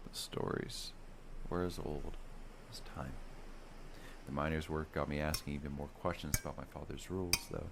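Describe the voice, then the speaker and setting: Male storyteller, bottom-of-the-range pitch, measured dramatic fantasy narration for bedtime listening, slight noise behind. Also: fairly even intonation, creaky. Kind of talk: first-person bedtime fantasy story reading.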